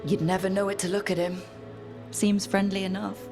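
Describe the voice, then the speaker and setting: A woman playing a confident young team leader in a video game, calm and wry, with a steady delivery. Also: mid-range voice, moderate pace, music in the background, natural voice. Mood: wry, amused. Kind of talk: dramatic video game acting.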